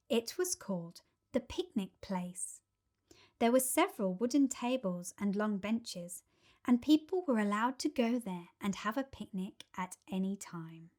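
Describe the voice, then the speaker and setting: A woman narrates a story for children. Her voice is high, and she takes her time, telling it with expressive drama.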